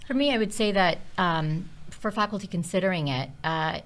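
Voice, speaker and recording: A woman's voice: on the low side, normal speed, fairly bright tone. A middle-aged woman, a hospitality instructor, chatting casually on a podcast with many fillers and small laughs.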